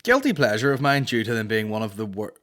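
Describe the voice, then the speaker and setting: Low-pitched, sweary Northern Irish man. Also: sing-song, fast, a bit bright. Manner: blunt, sweary. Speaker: comedy podcast host. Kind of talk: casual comic chat, answering listener questions. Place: small indoor podcast studio. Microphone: close studio microphone on boom arm.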